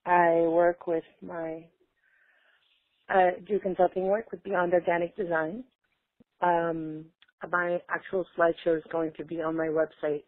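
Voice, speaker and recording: A woman's voice: on the low side, no room sound. A woman from Chile speaking informally as a panelist on an online call. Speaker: panelist, consultant. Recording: narrowband phone-like online call audio.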